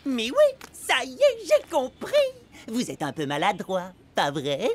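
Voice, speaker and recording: Excitable high-pitched little cartoon boy creature. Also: a little slow, soft background music, heavily acted. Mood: eager, encouraging. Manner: excitable, muddled, exclamatory. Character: eager, naive, clumsy young boy cartoon creature. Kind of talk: animated children's cartoon dub.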